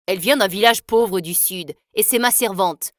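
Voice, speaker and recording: A woman's voice: mid-range voice, moderate pace, no echo, studio quality, close to an everyday voice. A woman voicing a bossy, protective rich boy in a storybook tale. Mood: commanding.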